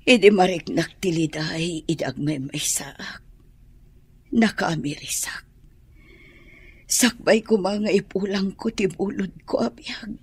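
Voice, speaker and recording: An older mother in a radio drama, weak and sick. Her voice is very husky and breathy, faltering with regret. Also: fairly low pitch, slow, pauses often, a little mellow, full character voice. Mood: weak, remorseful.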